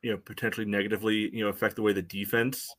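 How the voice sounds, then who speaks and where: A man's voice: fairly low pitch, level tone, very fast, fairly bright tone. A man giving casual sports analysis as a podcast co-host, conversational and peppered with "you know".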